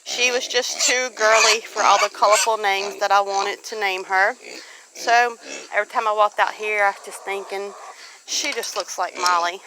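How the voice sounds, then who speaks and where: A woman's voice: medium pitch, steady tone, moderate pace. A woman with a Southern US accent chatting casually in a plainspoken, folksy way, as a homestead vlogger talking to viewers. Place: outdoors on a farm among animals. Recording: outdoor farm noise, animals and insects. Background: faint animal and insect sounds.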